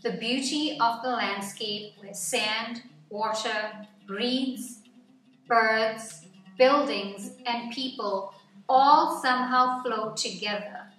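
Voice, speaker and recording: A woman reading a children's picture book aloud as scripted narration, with measured, deliberate phrasing. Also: a little high, pushed and bright. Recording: roomy echo, distant mic, background music. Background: music.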